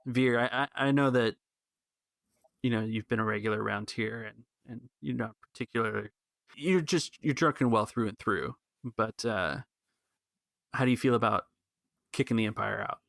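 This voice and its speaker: A man plays an earnest cantina keeper in a tabletop sci-fi game. His voice sits low with some gravel, and he makes his case haltingly but persuasively, in a dry, close sound. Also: a bit bright, no echo. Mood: earnest, resolute. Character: earnest cantina keeper rallying rebels against the Empire.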